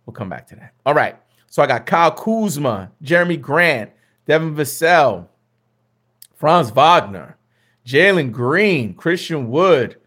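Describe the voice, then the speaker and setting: A young man, slightly hoarse, chatting casually with viewers as he hosts a fantasy basketball mock draft, often repeating himself. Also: a little high, big pitch swings, unhurried, fairly bright tone.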